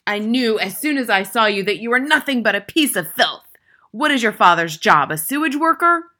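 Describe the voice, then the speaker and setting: A woman's voice: on the low side, normal speed, pushed and bright. A woman reading a storybook, voicing a gigantic tyrannical headmistress who barks contemptuous insults. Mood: furious scorn. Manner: barking, bellowing insults.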